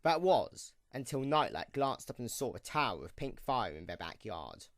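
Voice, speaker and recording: A man's voice: medium pitch, very animated, moderate pace, a bit bright, no room sound, studio quality. A man narrating a scripted audio drama in a dramatic storytelling style.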